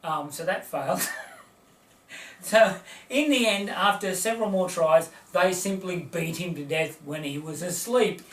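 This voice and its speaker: A man's voice: high voice, unhurried. A man co-hosting a casual comedic chat, telling stories with frequent chuckles and dry quips. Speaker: co-host. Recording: echoey room, heard from a distance.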